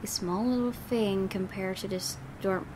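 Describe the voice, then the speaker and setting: A woman's voice: medium pitch, fairly even intonation, measured pace, noisy background. A woman hosting a gaming video, reading story narration aloud dramatically with casual reactions. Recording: game music clearly behind. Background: game music.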